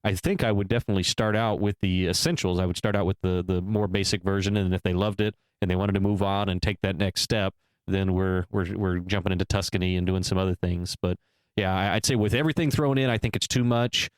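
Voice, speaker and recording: Low, smooth-voiced man mulling things over. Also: steady tone, fast talker, no echo. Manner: hesitant, weighing both sides with many restarts. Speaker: podcast co-host. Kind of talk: casual discussion.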